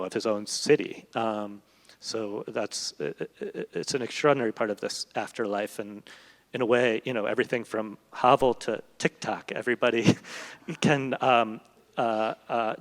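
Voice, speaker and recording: A man speaking as a literary translator and guest in an on-stage conversation, thoughtful and digressive, often searching for his words. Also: medium pitch. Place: large hall, public event. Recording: reverberant hall.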